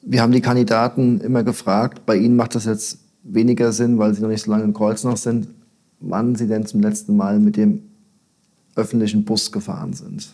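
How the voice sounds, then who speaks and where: A man's voice: lowish voice, little pitch movement, fairly quick, warm tone, slightly rough. A man interviewing a local candidate, asking questions in a conversational way with short affirmations.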